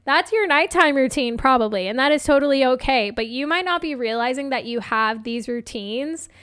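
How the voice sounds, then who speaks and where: A woman hosting a solo motivational podcast as a coach, chatting casually and encouragingly, her voice slightly hoarse. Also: sits high, lively intonation, normal speed, a bit bright, dry recording, studio quality. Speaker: podcast host and life coach.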